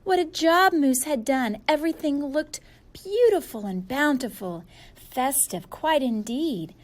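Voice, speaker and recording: Female storybook reader, very lively intonation, slow and playful delivery for young listeners. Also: high-pitched. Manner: animated, playful, drawn-out emphasis. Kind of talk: expressive children's story read-aloud.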